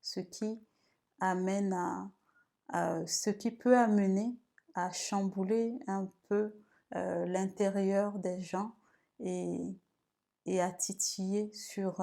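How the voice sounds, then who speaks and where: Female wellbeing vlogger, creaky and mellow, thoughtful, hesitant advice-giving. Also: medium pitch. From Togo. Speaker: video host and women's wellbeing guide. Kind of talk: advice vlog talk.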